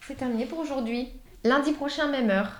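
A woman's voice: sits high, a little fast, fairly bright tone, slight background noise. A woman leading a speech therapy session, giving encouraging instructions to her patient.

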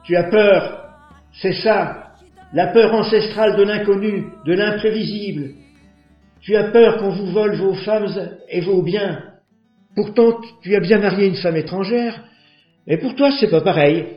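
A man playing Gino, an accusing young neighbour. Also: a little slow. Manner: accusing, declamatory moral speech. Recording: echoey, dull low-fi with faint music.

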